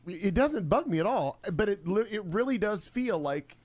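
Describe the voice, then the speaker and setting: A woman's voice: mid-range voice, lively intonation, normal speed, darkish tone. A woman in her forties co-hosting a casual chat, wry and teasing. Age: 40s. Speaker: co-host.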